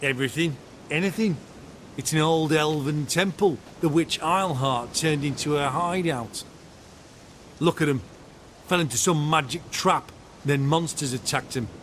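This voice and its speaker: Rude scornful witch hunter boss, kinda higher voice, video game, bit of rumble behind. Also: measured pace, fairly bright tone. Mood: scornful, curt. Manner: brusque, scornful. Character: surly, foul-mouthed male witch-hunter commander, contemptuous and hard. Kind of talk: fantasy game dialogue. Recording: game audio with rumbling ambient noise.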